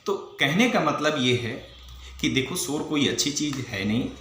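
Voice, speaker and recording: A man's voice: fairly high pitch, lively intonation, moderate pace, fairly bright tone. An Indian man teaching in an emphatic, didactic lecture style, posing questions and mixing in English legal terms. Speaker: teacher for civil service exam students. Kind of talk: explanatory lecture with rhetorical questions. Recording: echoey room with faint background noise.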